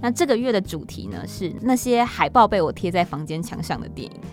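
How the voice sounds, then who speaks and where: A woman's voice: medium pitch, very animated, brisk. A woman with a Taiwanese accent hosting a podcast in casual solo talk, chatty, informal and a little self-deprecating. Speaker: podcast host. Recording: music playing under the voice. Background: background music.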